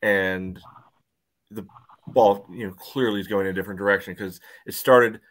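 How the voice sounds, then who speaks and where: Low-voiced man talking soccer. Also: fairly even intonation, normal speed. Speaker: soccer podcast panelist. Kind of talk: casual match analysis. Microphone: video call.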